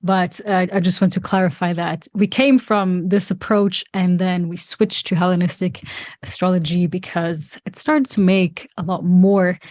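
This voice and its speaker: Low-voiced Swiss-woman hosting a podcast. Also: steady tone, a little mellow, no echo. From German-speaking Switzerland. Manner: measured, hesitant, with frequent fillers. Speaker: podcast host. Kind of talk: casual podcast chat.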